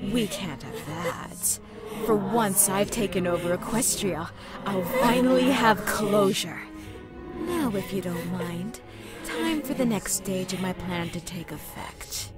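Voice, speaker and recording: A woman voicing a cruel female demon pony from an audio drama, drawling every word slowly with cold mockery. Loud dramatic music swells behind her. She savors each threat. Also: medium pitch, animated. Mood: mocking. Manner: mocking, slow and cold. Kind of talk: fan audio drama acting.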